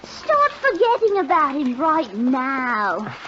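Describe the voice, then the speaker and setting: A brazen, coaxing young sweetheart in a radio drama. Her high voice swoops in a singsong drawl. It sounds dull, like an old broadcast. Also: wildly swooping pitch, takes it slowly, fairly bright tone, full character voice. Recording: dull old radio recording, faint noise.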